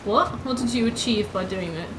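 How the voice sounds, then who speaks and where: A woman in her twenties with a squeaky voice, chatting casually with her viewers while playing a game. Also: fairly quick. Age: 20s. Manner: chatty, banters with chat. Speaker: streamer. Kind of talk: casual gameplay chat. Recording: echoey room with background noise.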